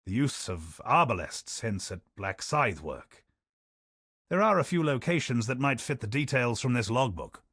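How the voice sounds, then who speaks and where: An actor playing a curious, scholarly male explorer who speaks in dry, wry asides with a measured storytelling delivery. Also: mid-range voice, sing-song, fairly bright tone, breathy edge, no echo, heavily acted. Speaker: expedition companion character. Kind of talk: studio video game voice lines.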